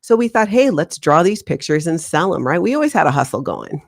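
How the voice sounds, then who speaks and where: A woman in her forties from Hawaii, a guest telling her life story in an interview, animated and laughing often. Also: sits low, a little fast, gravelly. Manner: animated, laughs often, slips into acted quotes.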